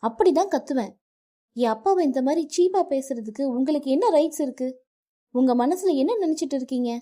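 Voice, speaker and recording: A woman voicing an angry young wife who shouts at her husband and later pleads through tears. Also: medium pitch, lively intonation, measured pace, no room sound, clean studio sound, pitched above the performer's own voice, close to an everyday voice. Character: young wife, hurt and defiant.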